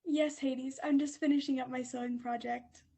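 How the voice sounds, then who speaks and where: A woman voicing a gentle, sweet young goddess. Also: high voice, fairly even intonation, moderate pace, husky.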